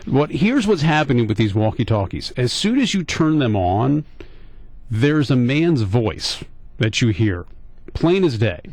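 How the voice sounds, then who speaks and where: A man's voice: medium pitch, sing-song, measured pace, a little mellow, dry recording. A middle-aged American man hosting talk radio, telling a casual story in a conversational, questioning way and repeating points for emphasis. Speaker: radio talk show host. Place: radio studio.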